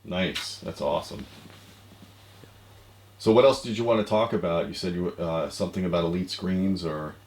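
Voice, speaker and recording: A man's voice: deep voice, normal speed, long pauses, darkish tone. An American man in his forties co-hosting a tech show in casual chat over a video call. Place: small home office, indoors. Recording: roomy, echoey home-office sound.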